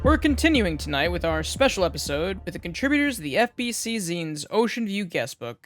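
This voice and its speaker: A woman's voice: on the low side, big pitch swings, a little fast, music in the background. A woman playing the poised host of a late-night paranormal radio show, with a theatrical, intriguing, scripted delivery. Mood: intrigued, mysterious.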